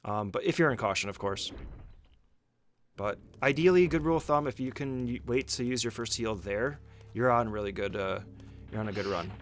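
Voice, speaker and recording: A man's voice: mid-range voice, normal speed, slight background noise. A man giving a casual, step-by-step speedrun tutorial as commentary, speaking informally and giving short instructions.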